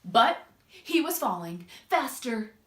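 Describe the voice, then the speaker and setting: A woman doing an expressive children's read-aloud, drawing out words and speaking straight to young listeners. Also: fairly high pitch. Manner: expressive, drawn-out emphasis, addresses young listeners directly. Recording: echoey room.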